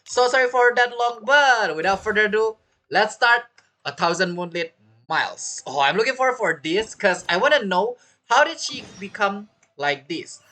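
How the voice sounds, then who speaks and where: A woman's voice: mid-range voice, animated, moderate pace, pushed and bright. A woman giving casual, chatty gameplay commentary as a streamer, with teasing asides. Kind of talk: casual game playthrough commentary.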